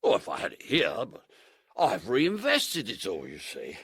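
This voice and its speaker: A sly barber of melodrama, husky and very dry in sound. His pitch leaps and dives as he pours out unctuous, mock-courteous theatrical lines. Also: wildly swooping pitch, measured pace, a bit bright, no echo, full character voice. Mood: smooth evasion. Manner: unctuous, theatrical, swooping delivery. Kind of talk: period audio drama acting.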